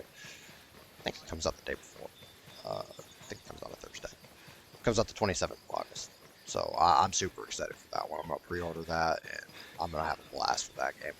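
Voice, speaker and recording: A man's voice: rumbling low, vocal fry, a bit bright, slight background noise. A man hosting a gaming video with casual, rambling, mildly profane unscripted commentary.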